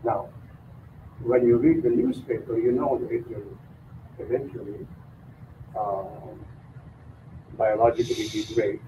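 An older man hosting, introducing a guest speaker in a halting way with many fillers. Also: medium pitch, fairly even intonation, noisy background. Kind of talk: spoken introduction. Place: mosque lecture room. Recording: tinny lo-fi sound.

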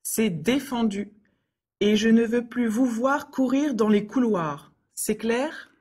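Brief: woman, higher voice, breathy, clear scripted lesson reads. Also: slow pace, a little mellow. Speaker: dialogue reader for French learners. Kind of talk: scripted learner dialogues.

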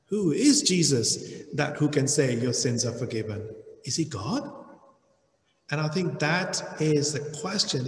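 Slow-preaching man in an echoey church hall. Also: fairly high pitch. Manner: slow, deliberate preaching with emphatic rises. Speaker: pastor. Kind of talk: sermon.

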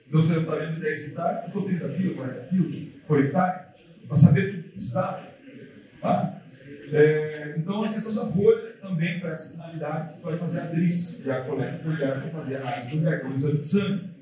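A man's voice: fairly high pitch, fairly quick, warm tone. A man giving a casual seminar talk to an audience in a large hall, repeating himself with many fillers. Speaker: lecturer. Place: large dark lecture hall. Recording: echoey hall from far off, audience.

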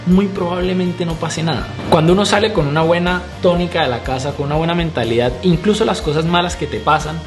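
Animated young man vlogging over music. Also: fairly high pitch. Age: young adult. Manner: animated, talks with his hands, reflective. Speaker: urban cycling vlogger. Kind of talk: casual vlog to camera. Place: small indoor room at home. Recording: music playing behind the voice.

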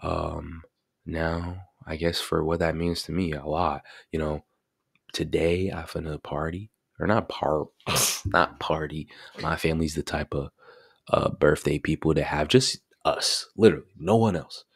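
Chill 18 yr old guy talking into a boom mic in his bedroom, super low voice, dead dry sound, says bro a lot. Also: steady tone, unhurried, gravelly, breathy edge, no room sound. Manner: laid-back slangy talk, many fillers like bro and you know. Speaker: vlogger. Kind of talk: casual birthday vlog chat. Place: small bedroom indoors. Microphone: desk mic on boom arm.

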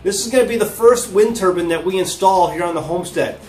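High-voiced American man, roomy sound. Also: quick pace, a little mellow, faint music behind. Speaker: homesteading vlog host. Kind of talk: casual product unboxing and explanation to viewers. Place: living room with wood floor and large windows. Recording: roomy indoor echo.

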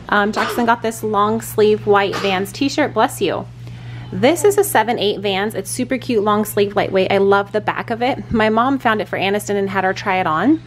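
A woman in her thirties chats into a handheld camera in a parked car, her mid-pitched voice loose and rambling. She fills her talk with "like" and "um". A steady wash of background noise sits under her voice. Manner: chatty, rambling with lots of "like" and "um". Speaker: vlogger. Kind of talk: casual vlog chat. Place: parked car at a gas station, later a hotel room. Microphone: camera held at arm's length.

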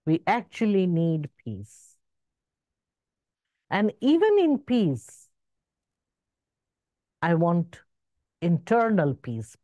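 Slow, measured woman on a video call. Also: warm tone, no room sound, highs cut off. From born in Zambia, raised in Zimbabwe. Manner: slow, measured, rising and falling in pitch. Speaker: spiritual speaker. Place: indoor room with curtains. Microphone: video call webcam.

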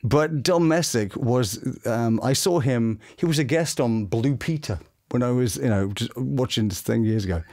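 A middle-aged man with a British accent telling anecdotes in an expressive, enthusiastic way as an interviewed voice actor. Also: mid-range voice, normal speed, hardly any pauses. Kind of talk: animated anecdotal interview chat. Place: small home studio room. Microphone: boom-mounted studio microphone.

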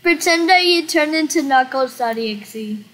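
Bright, high-pitched child in playful game chat. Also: animated, normal speed. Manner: playful, directing make-believe. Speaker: host of a Roblox roleplay livestream. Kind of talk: casual game roleplay chat. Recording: noisy home recording, clicks.

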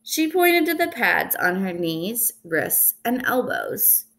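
A young woman reading a children's picture book aloud in an expressive, deliberate way, recorded indoors at home. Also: medium pitch. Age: young adult. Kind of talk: storybook read-aloud. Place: indoors in a small room at home.

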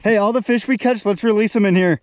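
Higher-pitched man chatting outdoors while fishing. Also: fast, smooth. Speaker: angler filming a fishing outing. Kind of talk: casual chat while fishing. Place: outdoors on a river sandbar. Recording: outdoor action-camera audio with background noise.

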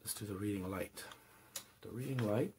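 A man's voice: on the low side, normal speed, a little mellow, a little hiss or hum. A man casually showing off a radio at home, thinking aloud with small hesitations. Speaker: product reviewer. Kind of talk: casual product demo.

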